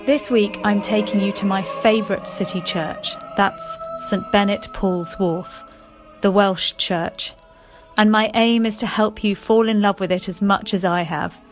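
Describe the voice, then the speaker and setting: A woman's voice: mid-range voice, fairly even intonation, unhurried, over music. A woman presenting a programme, introducing guests and asking curious questions. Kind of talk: presenting and interviewing.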